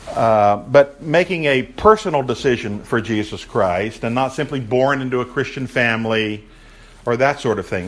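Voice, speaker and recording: Man lecturing a room on church history. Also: mid-range voice. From raised in a Baptist family. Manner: projecting, asks the audience questions. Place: room with an audience.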